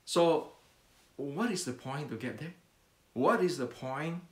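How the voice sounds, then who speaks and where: A man's voice: on the high side, expressive, reverberant. An older man from Indonesia with an Indonesian accent, talking earnestly as a martial arts teacher, repeating points and stumbling over fillers and restarts. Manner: earnest, repetitive, halting with many fillers and restarts. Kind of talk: unscripted talk to camera.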